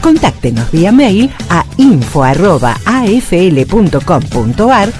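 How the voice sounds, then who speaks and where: A woman's voice: lowish voice, very animated, measured pace, a little mellow. A woman with an Argentine accent reading scripted radio advertisements as an announcer. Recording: radio ad over clear music bed.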